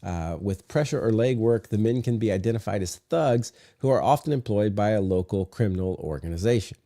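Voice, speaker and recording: Male podcast host with a cold, deep mellow tone and a dry sound, laid-back delivery. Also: brisk, no room sound. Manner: relaxed, many filler "uh"s. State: sick, congested low voice. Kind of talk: casual podcast chat and reading aloud.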